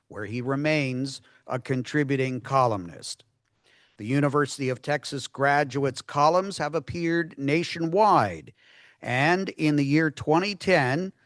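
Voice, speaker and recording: A man hosting an event, reading a formal biography with pauses. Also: medium pitch, no echo, studio quality. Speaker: event host.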